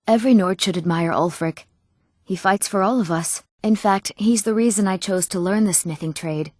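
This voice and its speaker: A woman voices a young apprentice smith for a fantasy game. She sounds youthful, eager and earnest, at a middling pitch. The recording is close and dry, with no room sound. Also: no echo, natural voice. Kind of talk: scripted fantasy game dialogue.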